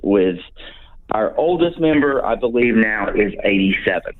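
A man's voice: fairly low pitch, lively intonation, measured pace. A man in his sixties from North Carolina with a Southern American accent, a guest on a radio chat show, talking casually with many ums and restarts. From North Carolina, USA. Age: 60s. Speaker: radio guest, brass band member. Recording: tinny phone line.

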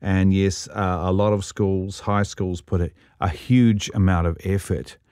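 Kiwi guy hosting a chat show, middle aged, lowish voice, easygoing. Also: fairly even intonation, a little slow, darkish tone, smooth, no echo, studio quality. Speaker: radio host. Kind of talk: interview conversation.

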